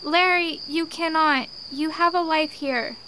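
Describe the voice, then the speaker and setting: A woman's voice: high-pitched, steady tone, unhurried. A woman playing an earnest, stubborn little girl in a radio drama, speaking in stilted, formal sentences. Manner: stilted, formal speech without contractions. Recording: noisy, with cricket-like background.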